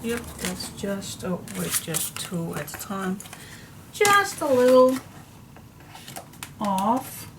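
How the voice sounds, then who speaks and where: Slow, slightly hoarse crafting woman. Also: medium pitch, noisy background. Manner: chatty, slow and relaxed, talks to herself while she works. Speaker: papercraft tutorial host. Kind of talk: casual crafting tutorial talk.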